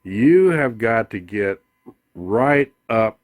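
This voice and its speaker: A man giving an informal tutorial as a music gear demonstrator, explaining things in a halting, unhurried way. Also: lowish voice, animated.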